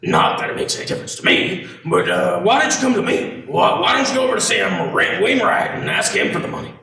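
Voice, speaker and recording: A man playing a scornful, miserly old banker in a radio play, sneering and bullying in a gravelly voice. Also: high-pitched, expressive, bright, forceful tone, heavily acted. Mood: sly, mocking. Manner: sneering, drawn-out mock surprise. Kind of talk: audio-only radio play acting. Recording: echoey room, distant mic, faint noise.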